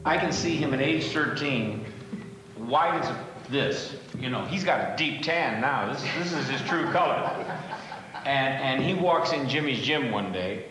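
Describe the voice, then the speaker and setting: A man's voice: mid-range voice, expressive, unhurried, over music. A man telling anecdotes informally in a documentary interview. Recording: very echoey room, slight noise.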